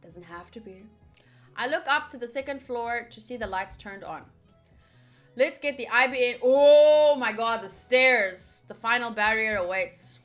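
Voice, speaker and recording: A woman giving excitable, giggly commentary on a visual novel playthrough while reading the text aloud. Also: fairly high pitch, a little slow. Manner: excitable, giggly, animated reactions. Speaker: streamer playing through a visual novel. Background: game music.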